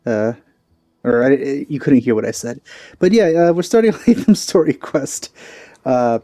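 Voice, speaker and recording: A man giving casual, low-key, rambling let's play commentary on a video game. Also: big pitch swings, a little slow, dark tone, no echo, clean studio sound. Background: faint game music.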